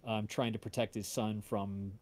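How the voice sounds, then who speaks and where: A man's voice: lowish voice, little pitch movement, normal speed. Casual man hosting a chat. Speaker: audio drama creator hosting livestream.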